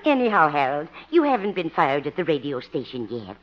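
An elderly, motherly radio comedy character. She is earnest and plucky, with a lively rise and fall in her voice, on a dull vintage recording. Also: deep voice, fairly quick. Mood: plucky, reassuring. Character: plucky, encouraging elderly mother of a grown son. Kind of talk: 1950s radio sitcom acting.

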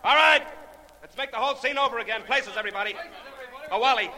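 A brisk, exasperated movie director in a 1940s radio drama. The voice is high and piercingly bright, barking orders with a sarcastic edge. It rings with long reverb on an old broadcast recording. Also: male voice, a faint crowd, heavily acted.

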